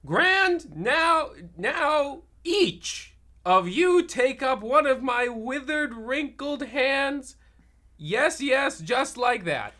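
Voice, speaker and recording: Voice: high voice, very animated, extremely slow, bright, forceful tone, exaggerated voice. An actor playing a haggard, cranky old witch with a theatrical, vengeful manner in a comic radio play. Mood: sweetly fussy.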